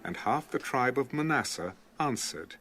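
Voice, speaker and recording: A man narrating a dramatized audio Bible in a steady, formal way. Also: mid-range voice, normal speed, gravelly.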